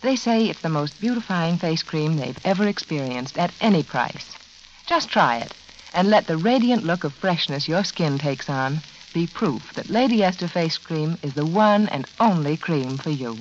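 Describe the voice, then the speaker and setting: A woman delivering a scripted 1940s radio advertisement as the show's hostess, measured, intimate and persuasive. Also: low-pitched, animated. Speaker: radio hostess and sponsor spokeswoman. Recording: muffled, noisy vintage radio recording.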